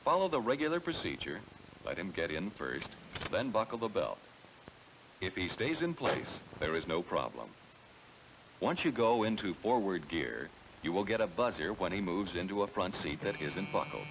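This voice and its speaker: An American man giving measured, explanatory scripted narration that addresses the listener directly, on a 1970s training film soundtrack. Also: low voice, fairly bright tone, slight background noise, low-quality recording.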